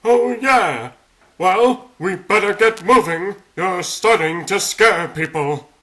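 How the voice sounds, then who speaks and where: A man's voice: high voice, slow, a bit bright. A man voicing a plucky, sarcastic little talking rabbit superhero in a cartoon comic dub. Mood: casual, impatient. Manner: sarcastic, chatty, quipping. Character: wisecracking, plucky three-foot talking rabbit superhero, male.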